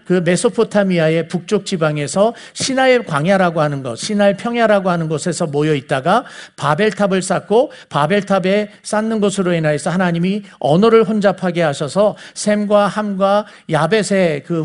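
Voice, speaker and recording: High-pitched Seoul man preaching conversationally. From South Korea. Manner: explanatory, asks listeners rhetorical questions. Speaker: preacher teaching the Bible. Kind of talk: conversational sermon lecture to a congregation.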